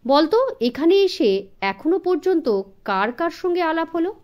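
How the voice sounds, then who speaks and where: A woman voicing a sharp male detective in his late twenties for an audiobook, with an inquisitive, teasing manner. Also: extremely high pitch, big pitch swings, a little slow, voice pushed up, full character voice. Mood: quizzing. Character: sharp, wry 28-year-old male private detective.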